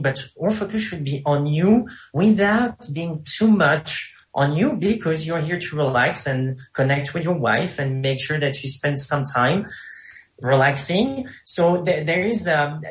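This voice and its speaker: A man's voice: fairly high pitch, fairly bright tone, rough recording. A man with a thick French accent, a business co-founder and guest answering interview questions eagerly, repeating and restarting his words.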